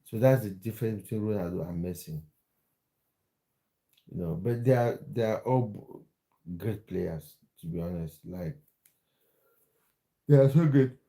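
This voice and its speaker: Mellow-voiced man hosting a football livestream. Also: fairly low pitch, unhurried, pauses often. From Cameroon. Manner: chatty, restarts words, reads viewer comments aloud. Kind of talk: casual live chat with viewers.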